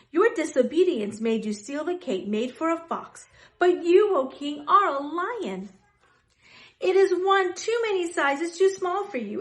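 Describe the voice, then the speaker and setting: Woman voicing an earnest, high-pitched storybook animal scribe. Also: echoey room. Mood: bold scolding. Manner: declamatory, preachy, emphatic. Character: earnest animal scribe, boldly admonishing a proud king. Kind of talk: children's storybook character voice.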